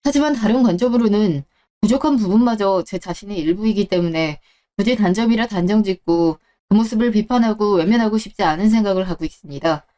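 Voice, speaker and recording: A woman's voice: mid-range voice, brisk, warm tone, pitched below the performer's own voice, natural voice. A woman playing a smug male job applicant, an ex-husband who talks in long, self-justifying answers in a story radio drama.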